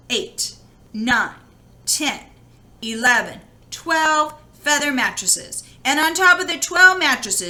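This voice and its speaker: A woman reading a children's story aloud expressively, with drawn-out, dramatic emphasis. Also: high-pitched, pushed and bright. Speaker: storybook reader. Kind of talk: expressive children's read-aloud, with casual asides.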